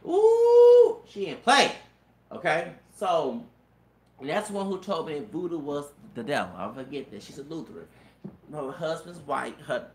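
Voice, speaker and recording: A man in his thirties with a feminine-sounding voice, telling a rambling personal story with stammered restarts and cursing. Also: on the high side. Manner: repetitive stammered restarts, profanity, frequent "you know what I'm saying". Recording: roomy echo, faint background noise.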